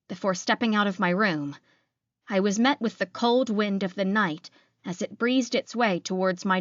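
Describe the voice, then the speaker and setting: A woman's voice: lowish voice, a bit bright, dry recording, lo-fi sound. A woman reading first-person fiction as a scripted audiobook narration.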